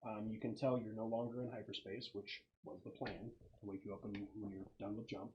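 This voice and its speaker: A man acting as game master, narrating a tabletop roleplaying game in a halting, conversational way. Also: lowish voice, little pitch movement, a little mellow, highs cut off, slight background noise.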